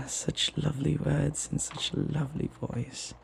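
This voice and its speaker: A man playing a flirty, devoted butler in a romantic ASMR roleplay, speaking softly and tenderly with teasing and hesitant pauses. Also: a little high, level tone, creaky, a little hiss or hum. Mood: tender, flirtatious. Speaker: voice actor.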